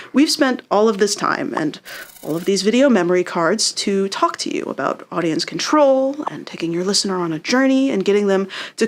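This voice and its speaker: Woman teaching in an encouraging, conversational way. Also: medium pitch. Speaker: writing instructor. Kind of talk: instructional lesson delivery.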